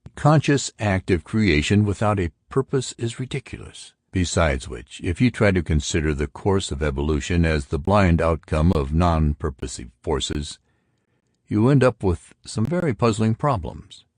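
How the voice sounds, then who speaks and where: A man's voice: sits low, animated, moderate pace, a little mellow, no echo, studio quality. A man voicing an argumentative intellectual who expounds theories to friends in an audiobook. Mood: earnest, arguing. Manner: emphatic, pedantic. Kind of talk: audiobook character dialogue.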